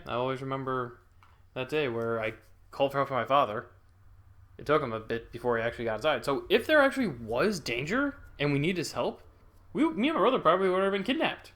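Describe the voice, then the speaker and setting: A man's voice: medium pitch, big pitch swings, fast, fairly bright tone. Young guy rambling through a story, keeps cutting himself off, chuckles a lot. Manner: rambling, self-interrupting, chuckles often. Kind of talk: casual spoken storytelling.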